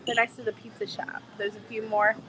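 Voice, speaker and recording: A woman travel vlogger with a cold, her voice a bit hoarse, chatting in a bubbly, gushing way to her live viewers from a bar. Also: medium pitch, little pitch movement, fairly quick, heavy background noise. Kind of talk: casual live-stream chat with viewers. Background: music playing in the bar.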